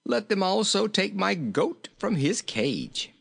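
Fat jolly male king, high swooping voice, bubbly, chuckling, storybook character. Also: very animated, takes it slowly, gravelly, fairly bright tone, breathy edge, full character voice. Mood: cheerful. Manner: chuckling, exclaiming, repeating himself, bubbly.

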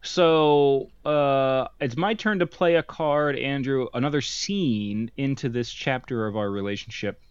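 A young man talks his way through a board game turn, joking and circling back with fillers and false starts. His voice sits at an ordinary pitch. The recording is dry and a little muffled. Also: unhurried, few pauses, clear, resonant tone, dry recording. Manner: jokey, rambling, many fillers and restarts. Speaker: podcast co-host. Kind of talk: casual banter over a board game.

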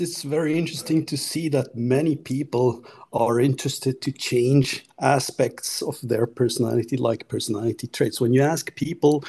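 A man's voice: on the high side, dark tone. A man, a researcher guest in a podcast interview, speaking thoughtfully with frequent restarts.

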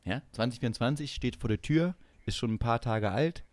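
A man's voice: mid-range voice, a little fast, no room sound. A man co-hosting a comedy podcast in casual banter, teasing and announcing things with mock solemnity.

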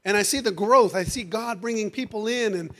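A man's voice: high voice, a little fast, bright, forceful tone. A man preaching a fervent sermon to his congregation while losing his voice, calling out "amen" and "hallelujah" after nearly every phrase. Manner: calls out to the congregation. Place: church sanctuary.

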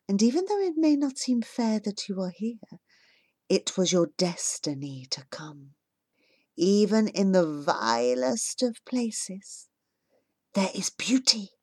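A woman voicing a gentle ancestral spirit who speaks tenderly in a hushed, half-whispered voice. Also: medium pitch, dry recording, clean studio sound.

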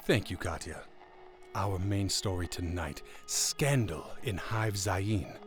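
A man's voice: sits low, takes it slowly, soft background music. A man playing a sensational radio news anchor in an audio drama, reading with dramatic emphasis. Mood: salacious, portentous.

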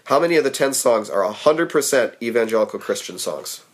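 A man hosting a casual podcast quiz, making wry, dry jokes and trailing off quietly at the ends of thoughts. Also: medium pitch, lively intonation, fairly quick. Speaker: podcast host running a quiz game. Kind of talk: casual chat.

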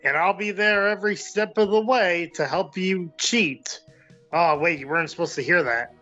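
Casting: a man as a breezy quack doctor, high-pitched, blunt, light music underneath, audio comedy. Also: moderate pace, pushed and bright, highs cut off. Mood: glib. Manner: glib, admonishing. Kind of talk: amateur comedic audio play.

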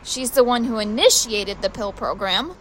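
A woman's voice: fairly high pitch, normal speed, a little hiss or hum, close to an everyday voice, low-quality recording. An actress playing a flippant, defiant young woman in a conversational, teasing way in an audio drama. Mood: defiant, dismissive.

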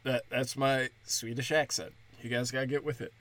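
A young man, a stand-up comedian, rambling crudely and mockingly through a solo podcast, breaking into high mimicking voices. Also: flat delivery, moderate pace, a bit bright, no room sound.

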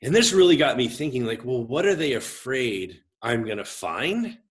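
A man in his forties with a big voice and an average male pitch talks reflectively about his own life. He is gregarious and expressive, often interrupting himself as he works toward his point. It sounds like a pastor being interviewed in relaxed conversation. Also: normal speed. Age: 40s. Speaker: guest, pastor. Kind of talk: reflective personal storytelling in conversation.

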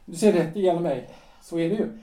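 A husband in his forties, a lawyer, pleads with his wife and stumbles over his words, played by an actor. His voice sits fairly high but sounds mellow. It is heard from a little distance in an echoing room in this audio drama. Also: natural voice. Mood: pleading. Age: 40s. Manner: pleading, hesitant, restarting phrases. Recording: roomy, off-mic.

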